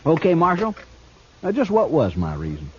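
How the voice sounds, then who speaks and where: A man's voice: fairly high pitch, wildly swooping pitch, darkish tone. A man acting a cocky, sly jailed outlaw in a western radio drama, talking in a folksy, mocking way. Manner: folksy, mocking drawn-out delivery. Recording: dull, noisy old radio recording.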